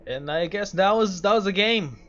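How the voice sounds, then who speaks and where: A man's voice: sits high, moderate pace, fairly bright tone, lo-fi sound. A man hosting a casual game playthrough, talking reflectively and often repeating his phrases as he goes. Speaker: gaming video host.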